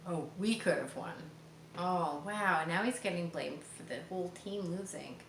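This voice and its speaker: Low-pitched woman reading aloud in a roomy space. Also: gravelly. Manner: expressive, pauses to explain to young listeners. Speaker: storybook reader. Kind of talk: children's read-aloud with chatty asides. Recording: roomy, distant mic, faint background noise.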